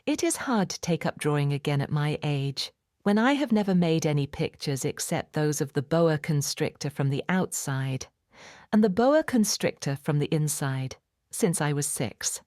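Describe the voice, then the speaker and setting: Woman, low pitch, animated intonation, measured storybook narration, dry recording. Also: no echo. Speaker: audiobook narrator. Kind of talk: expressive bedtime storybook narration.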